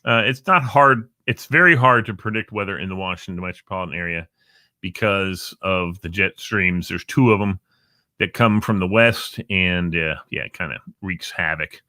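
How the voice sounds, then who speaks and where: A man's voice: low-pitched, normal speed, pushed and bright, no room sound, clean studio sound. A man hosting a casual show, rambling playfully with jokey asides about times and weather. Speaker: show host. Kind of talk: casual live show chat. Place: Northern Virginia.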